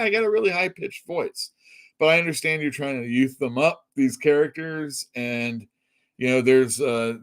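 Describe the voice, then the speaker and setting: A man's voice: mid-range voice, fairly bright tone. Blunt rambling middle-aged man. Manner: blunt, rambling, swears, laughs at own jokes. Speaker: comic shop podcast host. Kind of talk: casual opinionated chat.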